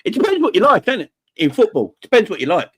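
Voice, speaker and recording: A man's voice: sits high, huge pitch swings, fast talker, slightly rough, no echo, clean studio sound. A man on a football podcast, laid-back and agreeable, often repeating himself as he talks. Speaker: podcast panelist. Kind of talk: casual football chat.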